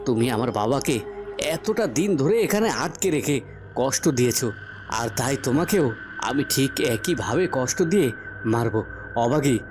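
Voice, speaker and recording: A man's voice: medium pitch, natural voice. A man voicing a heroic young cartoon prince, stern and resolute, with emphatic, melodramatic delivery.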